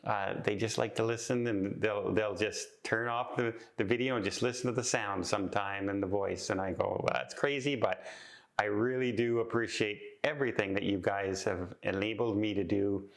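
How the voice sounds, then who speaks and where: A chatty man speaking in a slightly low, calm voice. He talks in a heartfelt, rambling way, often restarting his sentences as he reflects. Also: normal speed. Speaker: travel vlogger. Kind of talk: casual reflective vlog talk.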